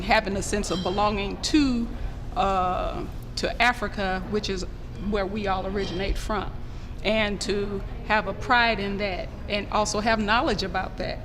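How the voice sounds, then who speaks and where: A woman speaks earnestly and at a measured pace as a roundtable panelist. Her voice rings with a long echo over a clear steady noise. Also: medium pitch. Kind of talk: panel discussion. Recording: echoey room with steady noise.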